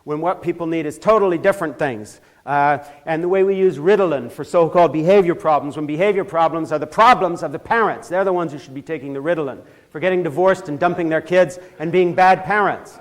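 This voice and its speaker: A man's voice: on the high side, brisk. An older man from Switzerland giving an impassioned talk in a church, growing heated and emphatic as he goes, often restarting his sentences. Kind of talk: impassioned lecture.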